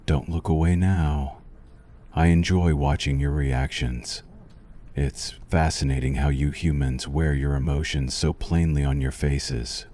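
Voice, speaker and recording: A man playing a sinister, possessive vampire doctor in an ASMR roleplay, silkily courteous and softly menacing, with slow, deliberate delivery. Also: very deep voice. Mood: silky, menacing. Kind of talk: ASMR roleplay addressed to the listener.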